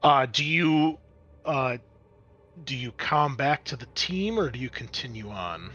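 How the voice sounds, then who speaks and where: A man's voice: medium pitch, lively intonation, unhurried, bright, forceful tone, breathy edge, highs cut off. A man acting as game master, describing scenes conversationally for a tabletop roleplaying game.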